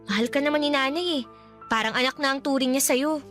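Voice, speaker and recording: An outspoken young Filipina best friend in a radio drama. Her voice is very high and bright, with slangy, teasing talk in a Filipino accent, over a light music bed. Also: fast. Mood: urging, reassuring. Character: Jem, outspoken, jokey young daughter and loyal best friend.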